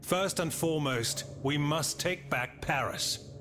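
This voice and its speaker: Slow-spoken, stern male leader. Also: fairly high pitch. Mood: resolute. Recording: music behind the voice.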